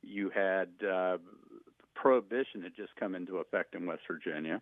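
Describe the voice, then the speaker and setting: An American man explaining history in an interview, halting and rephrasing as he goes. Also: sits low, dry recording. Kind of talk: informal explanatory interview talk. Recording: narrow, tinny phone-like sound.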